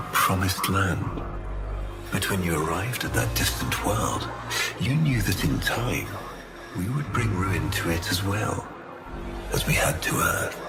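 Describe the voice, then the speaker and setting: A man playing a sinister, cultured villain in a video game cutscene, speaking deliberately with mock-courteous, gloating menace. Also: deep voice, heavily acted. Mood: bitter contempt. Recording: loud music behind the voice.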